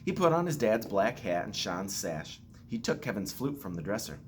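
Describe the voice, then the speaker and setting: A man's voice: on the low side, very animated, moderate pace, slight background noise. A man reading a children's storybook aloud expressively, with playful asides. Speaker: school staff member reading aloud to children.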